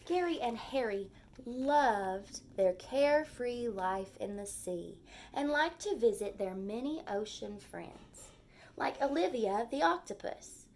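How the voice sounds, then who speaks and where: A woman with a fairly high voice and a touch of vocal fry leads storytime like a teacher. She takes her time, using simple words and clear phrases meant for small children. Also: lively intonation, slow pace. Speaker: teacher reading to young children. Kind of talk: storytime lesson with read-aloud and simple explanations.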